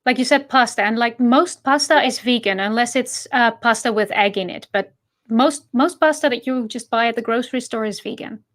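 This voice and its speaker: A woman's voice: fairly high pitch, normal speed. A woman chatting casually as a co-host, speaking in a friendly and reassuring way. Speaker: co-host, vegan activist. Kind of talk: casual chat. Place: online voice chat.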